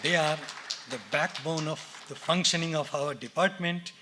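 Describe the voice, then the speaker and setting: A man with an Indian English accent, giving a measured, formal read speech at a university convocation from a podium in a lecture theatre. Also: on the high side, fairly even intonation, very noisy. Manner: measured, formal, reads names and titles deliberately. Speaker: department head speaking at a convocation.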